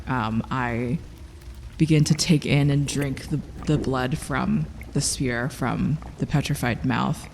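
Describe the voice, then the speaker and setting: Higher-voiced man playing a tabletop game. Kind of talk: improvised in-game description. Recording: long hall reverb, background music. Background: music.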